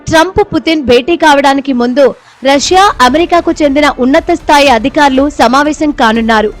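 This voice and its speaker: A woman narrates a scripted news report as a voice-over reporter. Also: high-pitched. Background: background music bed.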